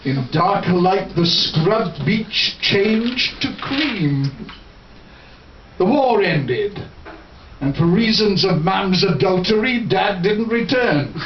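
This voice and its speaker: Casting: male poet, high animated voice, theatrical and deliberate, reciting live, heard from far off in a bar. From Wales. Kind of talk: live poetry reading with spoken introduction. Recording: roomy, distant, muffled, faint noise.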